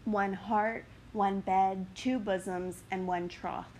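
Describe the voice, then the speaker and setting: A woman voicing an ardent young man in love, reading verse in a slow, earnest way. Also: mid-range voice, fairly even intonation, a little mellow, a little hiss or hum, natural voice, low-quality recording. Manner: earnest, measured verse delivery. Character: ardent young man, lovestruck and smooth-talking.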